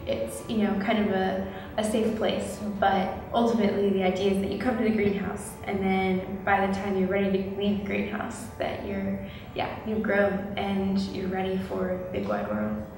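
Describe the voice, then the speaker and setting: A woman's voice: medium pitch, normal speed, a little mellow. A woman explaining something casually in an interview, in a tentative, explanatory way. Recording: very echoey hall, distant, noisy.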